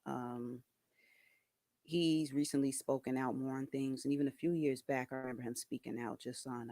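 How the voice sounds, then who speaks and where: A soft-voiced man talking casually to camera, hesitant, with many ums and restarts. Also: medium pitch, dry recording. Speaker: video creator.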